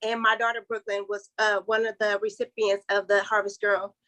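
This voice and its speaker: A woman's voice: medium pitch, level tone, normal speed, sharp tone. A woman in her forties introducing herself as a panel guest on a video call, friendly and polite. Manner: friendly and polite, says "yes, ma'am". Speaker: panel guest, dental professional. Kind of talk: casual self-introduction.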